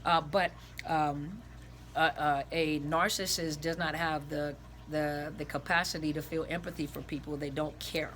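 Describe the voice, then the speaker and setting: Raspy-voiced woman counselor, rambling. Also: low-pitched, steady tone, measured pace, a bit bright, slight background noise. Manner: rambling, earnest, repeats and restarts phrases; gestures with her hands as she talks. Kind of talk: informal advice talk to viewers. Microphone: camera set on the floor.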